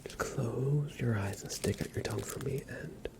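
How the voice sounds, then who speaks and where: A man whispering softly and soothingly as a reassuring doctor in an ASMR exam roleplay. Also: medium pitch, moderate pace. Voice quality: whispered, breathy soft voice. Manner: gentle, soothing, reassuring instructions. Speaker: ASMR performer playing a doctor.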